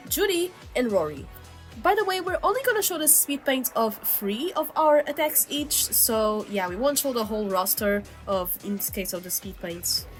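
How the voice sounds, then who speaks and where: A woman with a slightly sick voice giving casual, informal co-host commentary on drawings, chatty and full of "like" asides. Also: medium pitch. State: sick, still under the weather. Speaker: co-host, digital artist. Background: background music.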